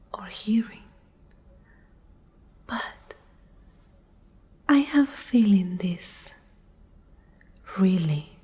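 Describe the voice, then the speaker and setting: Breathy, whispering, domineering female Alpha played by a woman. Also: fairly low pitch, darkish tone, full character voice. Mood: taunting, menacing. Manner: slow, deliberate, condescending. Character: domineering, menacing female pack Alpha, coldly possessive captor. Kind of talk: soft-spoken whispered ASMR roleplay.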